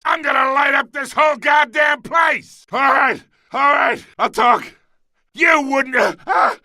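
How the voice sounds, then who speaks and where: An actor playing an older, blustering crime boss in a video game, coarse and swaggering, with a gravelly voice. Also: male voice, very high voice, a little slow, bright, forceful tone, no room sound, raised voice, clean studio sound, exaggerated voice. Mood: defiant, strained.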